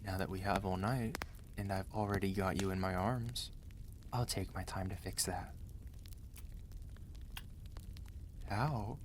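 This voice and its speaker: A man playing a protective, gentle but commanding mafia boss husband in an intimate, earnest ASMR romance roleplay, speaking tenderly and steadily to the listener. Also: sits low, steady tone, moderate pace, noisy background, lightly acted. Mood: teasing, intimate.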